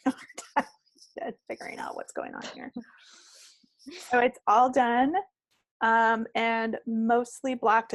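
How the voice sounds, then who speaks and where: Female podcast co-host, casual and rambling, with plenty of vocal fry and a dry, close sound. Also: a little high, dry recording. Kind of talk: casual chat about projects. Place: recorded at own end of a remote video call.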